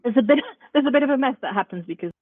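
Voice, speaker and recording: A woman's voice: sits high, animated, rapid-fire, no room sound. A woman whose first language is not English, a parent guest telling her own story emphatically in a podcast discussion. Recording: tinny phone-like line.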